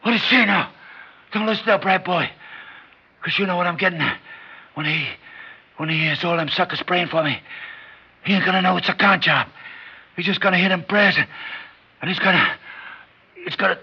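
A gravelly, husky old mob boss on his deathbed, stammering out rough slang and desperate schemes. The voice sits a little high, and it all comes through a dull, lo-fi old radio broadcast. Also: fairly quick, long pauses, full character voice. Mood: scheming. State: gravely ill, weakening. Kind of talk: 1960s radio drama acting.

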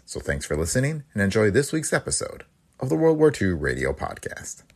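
A man hosts a podcast with scripted narration. His voice is very low and creaky, with a husky grain, and his pitch moves in a lively way. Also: fairly quick. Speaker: podcast host.

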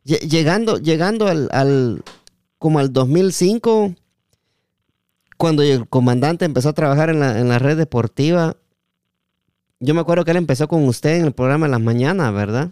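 A man hosting a casual interview, halting and repeating words as he forms his questions. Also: fairly high pitch, a little mellow, clear, resonant tone, no room sound, studio quality. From Guatemala. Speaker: podcast host.

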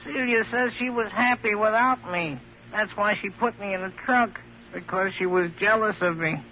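A man's voice: high-pitched, normal speed, close to an everyday voice. A man playing a sly, mocking ventriloquist's dummy with a gleeful, needling manner in an old radio drama. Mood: sly, accusing. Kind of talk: 1950s radio drama acting. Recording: muffled, noisy old radio recording.

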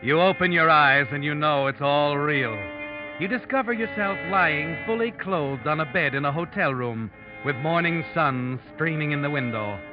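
An actor playing the Whistler's role of an ominous, all-knowing narrator in a 1940s radio suspense show, theatrical and foreboding. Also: male voice, on the high side, normal speed, lightly acted. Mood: tense. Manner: theatrical, ominous, addresses the character as you. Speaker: narrator and host of mystery radio drama. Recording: dull old radio recording with music.

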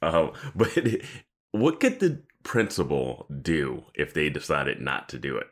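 Man, deep low pitch, podcast co-host, informal TV commentary, prone to chuckling. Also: huge pitch swings, a little slow. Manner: informal, laughs often as he talks. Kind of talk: casual chat, TV episode commentary.

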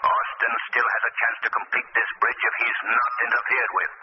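An actor playing a stern unseen male avenger, questioning with slow menace in old-time radio drama style. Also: mid-range voice, completely flat delivery, dull audio, lightly acted. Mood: stern, menacing. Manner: menacing, deliberate interrogation. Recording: noisy, band-limited old radio recording.

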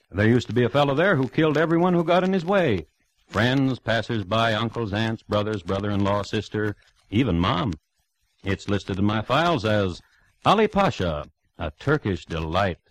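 An actor playing a dry, wry crime-history storyteller, narrating a 1950s radio show in a measured, formal, deadpan manner. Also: male voice, on the low side, no room sound, rough recording. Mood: wryly macabre. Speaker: narrator and host.

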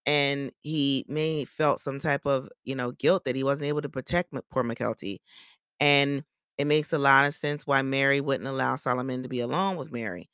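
Gravelly-voiced blunt gossip podcast host. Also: male voice, mid-range voice, pushed and bright, no room sound. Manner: blunt, opinionated, swears freely; rambling with frequent restarts. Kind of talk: casual gossip commentary.

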